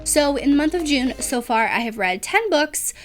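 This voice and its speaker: A woman's voice: sits high, expressive, moderate pace, soft background music. A young woman with a cold, chatting casually about books she has read, rambling with lots of fillers and restarts. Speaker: book vlogger. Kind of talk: casual book review chat.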